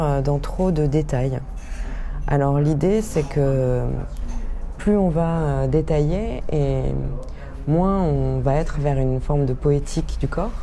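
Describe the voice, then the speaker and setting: Slow, low-voiced woman with heavy hesitation. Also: dark tone. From France. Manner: hesitant, many filler sounds, thoughtful pauses. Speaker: artist. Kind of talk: casual self-presentation to camera. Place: cultural centre during a New Year event. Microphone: handheld camera at arm's length. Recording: crowd noise around the camera. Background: crowd chatter.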